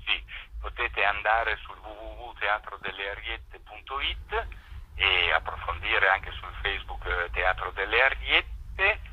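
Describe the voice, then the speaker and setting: A man explaining a project as an interview guest over a telephone line, pausing to search for words. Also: lowish voice, sharp tone. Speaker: theatre maker interviewed as guest. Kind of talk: radio interview, explanatory talk. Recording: tinny, rough telephone line.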